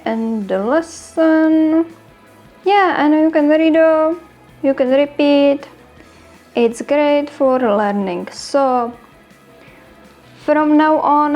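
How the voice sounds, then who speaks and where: A woman with a Czech accent talks through an app in English, her voice sitting high and bright. She chats loosely and pokes fun at her own slips. Soft music plays faintly in the background. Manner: chatty, self-deprecating. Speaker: host. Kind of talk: casual app walkthrough.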